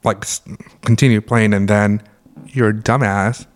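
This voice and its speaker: A young man co-hosting a casual podcast, talking with a raspy just-woke-up voice and joking and laughing as he tells stories. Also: lowish voice, fairly even intonation, a little slow. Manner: joking, laughs often, rambling storyteller. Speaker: podcast co-host. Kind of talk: casual chat.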